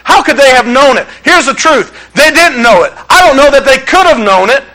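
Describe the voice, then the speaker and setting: A man's voice: very high-pitched, lively intonation, normal speed, pushed and bright, poor audio. A man from Detroit preaching a sermon as pastor, conversational, folksy and openly sarcastic. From Detroit, USA.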